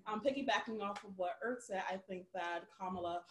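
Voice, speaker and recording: A woman's voice: medium pitch, fairly quick, a bit bright. A woman in her twenties co-hosting a casual panel chat, speaking earnestly and steadily. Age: 20s. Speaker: co-host. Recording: echoey room, faint ambience.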